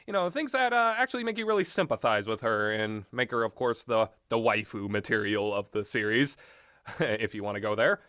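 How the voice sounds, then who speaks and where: A man giving casual, unscripted and opinionated commentary, halting often with fillers and trailing thoughts. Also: lowish voice, animated, sharp tone, no echo, studio quality. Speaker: host of a video game talk.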